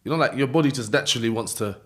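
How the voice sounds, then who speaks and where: A man with a London accent telling a story in an interview, in animated street slang, acting out voices and laughing often. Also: mid-range voice, very fast. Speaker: interview guest.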